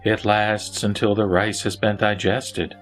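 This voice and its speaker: Man reading as a composed low-voiced sage. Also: level tone, a little slow, close to an everyday voice. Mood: composed, assured. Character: composed, wise male lay sage. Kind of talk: audio drama scripture dialogue. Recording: background music under the voice.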